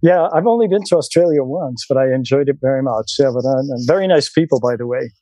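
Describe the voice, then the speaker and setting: A man's voice: medium pitch, fast, dark tone, no echo. A Swiss man in his sixties, a podcast interview guest who talks with many ums and restarts and laughs easily. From Switzerland. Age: 60s.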